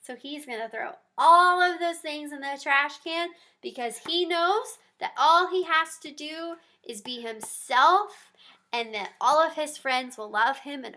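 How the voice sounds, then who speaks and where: Animated, high-pitched woman reading to children. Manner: animated, encouraging, asks listeners simple questions. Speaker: children's story reader. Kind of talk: expressive read-aloud to young children.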